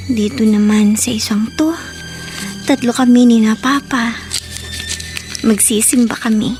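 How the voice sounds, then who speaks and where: An actress in a Filipino radio drama playing a shy, secretive teenage housemaid, polite and timid in manner. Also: female voice, a little high, natural voice. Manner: timid, stammering, deferential.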